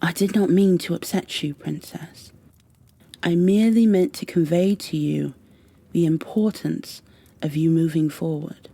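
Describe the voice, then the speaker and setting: A woman playing a stern high elf warrior in an ASMR roleplay, with formal, commanding, impatient phrasing and a soft, breathy delivery that often drops toward a whisper. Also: unhurried, dry recording, heavily acted. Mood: gentle, earnest. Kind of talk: ASMR roleplay, scripted in-character monologue.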